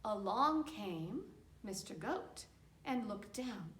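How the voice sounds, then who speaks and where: A woman's voice: medium pitch, sing-song, takes it slowly, a bit bright. A woman telling stories expressively and simply, as a puppeteer for young children. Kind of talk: storytelling for a children's puppet show. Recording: echoey room.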